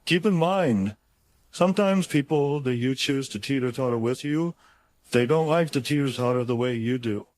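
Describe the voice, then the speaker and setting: A man's voice: mid-range voice, moderate pace, darkish tone. A man reading his own motivational audiobook chapter in an informal, folksy and emphatic conversational way.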